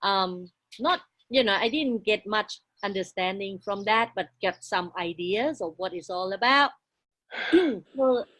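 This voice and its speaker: A woman in her forties, English not her first language, telling her life story as a guest in halting, broken English with frequent restarts. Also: mid-range voice, fairly bright tone, poor audio. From outside Australia. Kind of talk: casual interview, life story.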